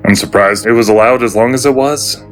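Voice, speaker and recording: An actor playing a calm craftsman in a fantasy audio drama who speaks in a measured, deliberate way. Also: mid-range voice, faint music behind, lightly acted, rough recording.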